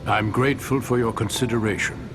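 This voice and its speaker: An actor playing a composed, courteous king in a fantasy game cutscene, speaking in a formal, measured, authoritative way. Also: medium pitch, steady tone, over music, lightly acted. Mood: diplomatic. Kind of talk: scripted video game cutscene acting.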